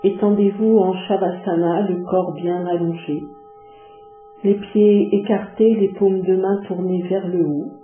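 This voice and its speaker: A woman leading a guided yoga relaxation, giving calm, measured instructions with long pauses. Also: mid-range voice. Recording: muffled phone-like sound, echoey, music behind.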